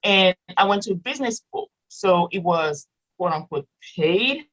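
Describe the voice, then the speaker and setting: A woman's voice: lowish voice, animated, unhurried, a bit bright, rough recording. A woman in her thirties, raised in Morocco with French as her first language, chatting as a guest, laughing often and restarting sentences. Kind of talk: casual interview chat.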